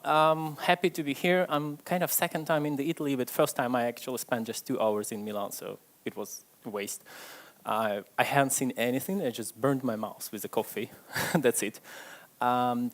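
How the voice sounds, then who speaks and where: Male conference speaker, chatty and self-deprecating, middle pitch, speaking to a live crowd. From Ukraine. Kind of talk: tech conference talk. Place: stage before a live audience.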